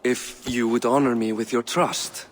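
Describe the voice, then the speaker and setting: An actor playing a calm, diplomatic king in a video game, speaking in a persuasive way. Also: mid-range voice, unhurried, big-room sound, natural voice.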